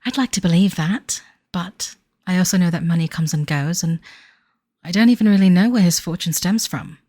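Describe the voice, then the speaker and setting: Actress as 1930s fiancée in audio drama: lowish voice, formal, wistful diary narration, devoted yet uneasy, close dry sound. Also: female voice, warm tone, no room sound, natural voice. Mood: fond, earnest. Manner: formal, wistful, measured period diction. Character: young bride-to-be in 1930s, devoted but uneasy.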